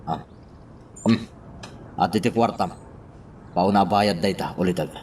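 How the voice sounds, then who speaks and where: A man's voice: deep voice, a little slow, darkish tone. An actor playing a scheming nephew who gives orders to his uncle in a radio drama. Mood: conspiratorial. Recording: distant from mic, faint noise.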